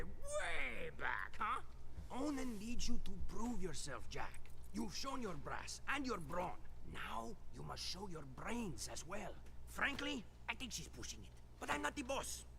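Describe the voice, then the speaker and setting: A cheeky talking monkey-bird from a video game, squawky and grandiose. The high voice creaks and swoops about theatrically, in a noisy game clip. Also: male voice, big pitch swings, unhurried, full character voice. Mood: teasing. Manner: showy, grandiose. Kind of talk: video game cutscene acting.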